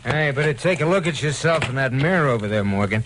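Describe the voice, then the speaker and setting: A man's voice: medium pitch, expressive, fast, a bit bright, slight background noise, lightly acted. A man with an American accent playing a menacing, impatient racketeer who barks slangy orders in an old radio crime drama. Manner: barking, slangy, ungrammatical threats. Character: menacing, bossy male racketeer, impatient tough guy. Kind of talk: 1940s-50s radio gangster acting. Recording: old radio recording, faint music.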